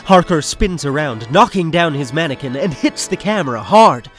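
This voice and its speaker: A man's voice: on the high side, animated, normal speed, fairly bright tone. A man reading scripted stage directions as the narrator of an audio drama. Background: music.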